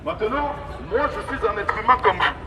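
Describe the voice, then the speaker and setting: A man with a high voice preaches on the street through a megaphone. His delivery is emphatic and repetitive, urging listeners on. The sound is distant, with clear outdoor traffic noise around him. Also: expressive, brisk. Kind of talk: evangelistic street sermon.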